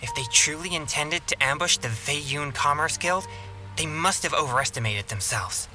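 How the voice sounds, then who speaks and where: An actor playing an earnest, observant young exorcist in a video game, reasoning things out calmly. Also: male voice, on the high side, normal speed, soft background music.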